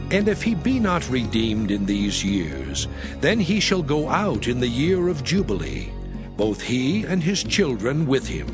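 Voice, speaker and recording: A man giving a dramatized Bible reading in a solemn, measured, declamatory manner. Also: on the high side. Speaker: scripture narrator. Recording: music under the voice. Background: prominent music.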